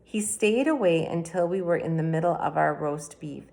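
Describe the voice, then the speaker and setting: A woman reading a children's book aloud in a plain, even storytelling manner. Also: low voice, normal speed, gravelly. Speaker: reader.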